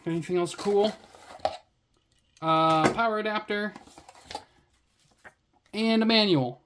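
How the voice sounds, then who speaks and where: A woman's voice: medium pitch, slow pace, noisy background. A woman reviewing a gadget in a casual unboxing commentary, with a sarcastic, wry way of talking.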